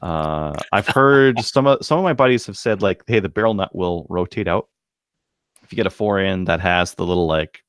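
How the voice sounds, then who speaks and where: A man's voice: deep voice, moderate pace, fairly bright tone, clear, resonant tone, no echo, clean studio sound. A man co-hosting a podcast, chatting casually and jokingly in a laid-back way. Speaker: podcast co-host. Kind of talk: casual banter about shooting and gear.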